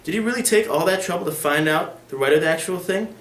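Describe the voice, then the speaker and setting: Casual: guy doing a bored posh lady, drawly and lofty, voice pushed up high, roomy echo. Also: quick pace, a bit bright. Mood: languid. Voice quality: lofty, languid. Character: haughty aristocratic lady, languid and cool. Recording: echoey room.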